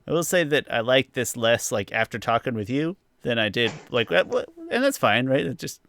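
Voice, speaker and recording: A man's voice: mid-range voice, very animated, normal speed, vocal fry, a bit bright, dry recording, clean studio sound. A man hosting a podcast, chatting casually through a review in a rambling, hedging way. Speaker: podcast host.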